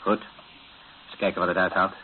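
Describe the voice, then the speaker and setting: An actor playing an agitated, insistent European captive in a radio drama, terse and urgent. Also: male voice, deep voice, little pitch movement, moderate pace, close to an everyday voice. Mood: doubtful. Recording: muffled, very noisy radio recording.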